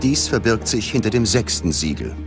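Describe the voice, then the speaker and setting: A man's voice: on the low side, sing-song, normal speed, gravelly. A man narrating a scripted documentary in a grave, dramatic manner. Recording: music bed behind the voice. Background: background music.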